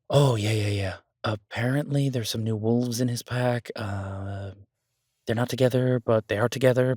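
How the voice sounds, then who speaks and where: A man's voice: mid-range voice, no room sound, natural voice. Man acting a shy, affectionate chef boyfriend, dry sound. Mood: flustered, pleading. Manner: halting, self-deprecating, affectionate, chuckling banter. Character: Beau, warm, shy, flustered male chef and devoted boyfriend. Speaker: audio roleplay performer. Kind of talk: intimate boyfriend roleplay audio drama, conversational.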